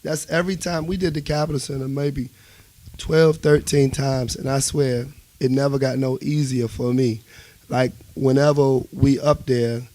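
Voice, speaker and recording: A man's voice: mid-range voice, flat delivery, moderate pace, darkish tone, dry recording, rough recording. A man with a Washington, D.C. accent talking casually as an interview guest, telling things in colorful slang and everyday phrasing. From United States.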